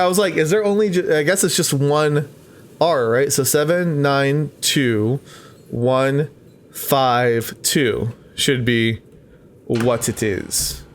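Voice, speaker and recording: Higher-voiced man chatting through a game. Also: slight background noise. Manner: chatty, talks to the game characters. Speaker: let's play host. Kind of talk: gameplay commentary mixed with reading game text aloud.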